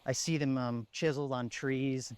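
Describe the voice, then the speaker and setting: A man's voice: mid-range voice, steady tone, moderate pace, clear, resonant tone, no echo, close to an everyday voice. A man playing a haunted, reflective lone apocalypse survivor, confiding in a plain-spoken found-footage horror monologue. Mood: matter-of-fact.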